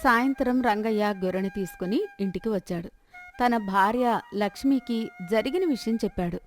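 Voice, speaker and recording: Lady narrating a village moral story, voice a bit high, music behind her. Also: normal speed.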